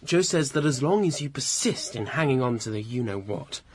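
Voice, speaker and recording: A man's voice: medium pitch, animated, normal speed, a little hiss or hum, natural voice. An actor playing a loyal, drily witty male sidekick in a radio drama, with an earnest, wry manner. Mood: solicitous.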